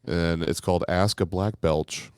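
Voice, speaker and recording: A man co-hosting a podcast in casual banter, with a dry, teasing, deadpan humor. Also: sits low, measured pace, smooth, no echo. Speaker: podcast co-host.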